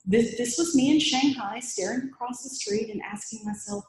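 A woman's voice: a little high, moderate pace, noisy background. A woman giving a chatty, humorous talk to a meetup audience and taking questions. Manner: lively, chatty, self-deprecating humor. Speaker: conference speaker. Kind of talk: presentation talk with Q&A. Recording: echoey room.

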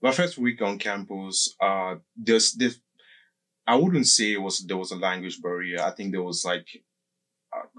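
A man in his thirties explaining things casually as a podcast guest, halting and circling back, at a close studio microphone. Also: low voice, a bit bright. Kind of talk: casual explanatory interview talk. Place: small indoor podcast studio. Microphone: desk microphone close up, with headphones.

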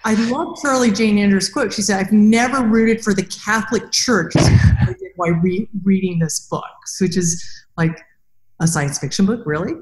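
A woman's voice: medium pitch, normal speed, a little hiss or hum. A middle-aged woman interviewing conversationally as a podcast host over a video call. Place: indoor room, video call. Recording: roomy, echoing video-call sound.

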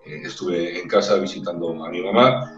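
A man from Spain with a Castilian accent talks casually as an interview guest, often repeating words while he gathers his thoughts. His voice sits fairly low. He sounds roomy and distant, as if on a video call set up away from the mic. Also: normal speed, fairly bright tone, highs cut off. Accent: Spanish from Spain. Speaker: opera-trained ranchera singer, guest. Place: indoor room with brick wall. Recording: echoey room, far from the mic, faint music.

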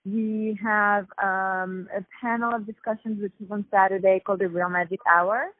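A woman from Paris with a French accent, a festival founder explaining things in a measured way over the phone. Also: medium pitch, fairly even intonation, no room sound. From Paris, France. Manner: measured, explanatory, many fillers. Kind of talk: phone interview. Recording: tinny phone line, dry.

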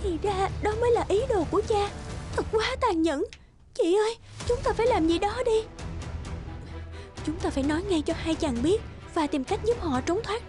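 A woman voicing an anguished young princess in an animated fairy tale, urgent and pleading. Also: very high voice, normal speed, over music, voice pushed up. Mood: distressed, urgent.

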